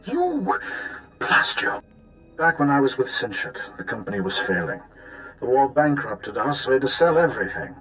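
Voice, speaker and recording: A pompous, wealthy game villain, full of imperious bluster and quick panic. He talks like someone used to giving orders to servants. Faint music plays behind him. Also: medium pitch, huge pitch swings, a bit bright, rough recording. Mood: furious, desperate, then grudging confession. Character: pompous wealthy crime-linked businessman, imperious yet panicky. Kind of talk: video game voice acting.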